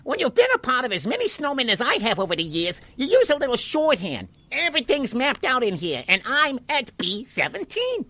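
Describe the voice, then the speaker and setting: Tiny talking water molecule played by a woman, high-pitched, animated, plucky, matter-of-fact, pushy, children's radio play. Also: a little fast, few pauses, dry recording, heavily acted. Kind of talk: children's audio drama acting.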